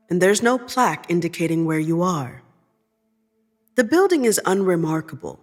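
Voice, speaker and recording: A woman narrating scripted second-person fiction in a measured, deliberate storytelling manner. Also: lowish voice.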